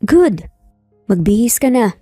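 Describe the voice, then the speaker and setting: A woman's voice: a little high, sing-song, takes it slowly, mellow, slightly rough, no room sound, clean studio sound, faint music behind, heavily acted. A woman voicing a curt, bossy, rich male employer in a romance audio story, commanding and dismissive. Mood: impatient, bossy.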